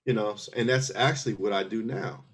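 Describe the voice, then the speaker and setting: Husky-voiced man explaining step by step. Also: fairly low pitch, a little fast, a bit bright. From Philadelphia, USA. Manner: earnest, explains step by step with many restarts. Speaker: guest, army veteran. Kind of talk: casual interview conversation.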